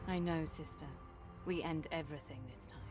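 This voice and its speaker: A woman's voice: low voice, fairly even intonation, normal speed, lightly acted. An actress voicing a pragmatic, hardened warrior woman in a fantasy video game, serious and direct. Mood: quiet resolve. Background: game music.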